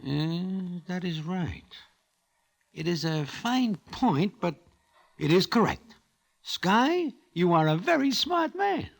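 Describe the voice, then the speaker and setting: An actor playing a wry small-time gambler from Broadway in an old-time radio drama, speaking stilted formal slang. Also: male voice, high voice, big pitch swings, slow, creaky, heavily acted. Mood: puzzled, impressed.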